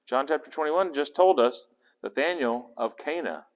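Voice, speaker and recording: A man's voice: a little high, moderate pace. A man leading a Bible study, talking conversationally and putting questions to his group. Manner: conversational, asks the group questions, restarts words. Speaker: Bible teacher. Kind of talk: interactive Bible study teaching. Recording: long echo of a hall.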